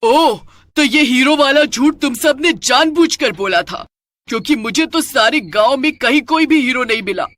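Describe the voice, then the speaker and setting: Woman voicing a loud, theatrical wicked witch ghost. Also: high voice, expressive, moderate pace, no echo, voice pushed up. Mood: angry, suspicious. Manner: theatrical, exclaiming, menacing. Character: wicked female witch ghost, gleeful then enraged. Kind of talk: animated moral story character acting.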